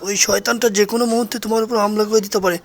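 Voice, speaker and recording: A man's voice: high voice, level tone, rapid-fire. A man voicing a cowardly village husband in a cartoon, agitated and pleading with stammered repeats. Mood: alarmed, scolding. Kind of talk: cartoon dialogue.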